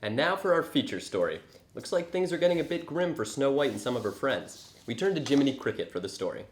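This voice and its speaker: A man's voice: medium pitch, sing-song, fast, slight background noise, natural voice. A man playing a fairy tale news anchor, reading formally with wry touches. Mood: newsy, wry. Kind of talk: mock TV newscast, scripted. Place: indoor studio set. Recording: echoey room.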